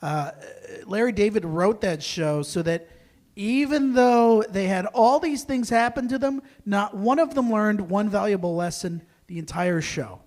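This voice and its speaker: Animated high-voiced man joking on stage. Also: unhurried. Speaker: performer and panelist at a live table read. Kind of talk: casual, joking stage chat. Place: small live venue, on stage.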